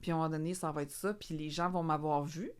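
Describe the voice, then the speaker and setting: A Québécois woman nearing forty chats freely on a podcast, with a fairly low pitch. She speaks in an animated, colloquial way and laughs easily. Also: fast, clean tone. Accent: Québécois French. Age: 30s. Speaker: podcast co-host. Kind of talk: casual chat.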